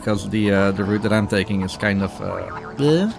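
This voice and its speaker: Casual male speedrunner over loud music. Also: fairly low pitch, moderate pace, creaky. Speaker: speedrunner commentating. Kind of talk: casual live game commentary. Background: game music.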